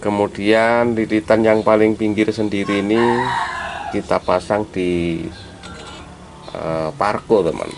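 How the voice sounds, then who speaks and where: A man gives an informal hands-on tutorial step by step, addressing viewers as friends and halting as he works. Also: on the low side, little pitch movement. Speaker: electronics repair hobbyist presenting a tutorial. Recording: clearly noisy amateur recording.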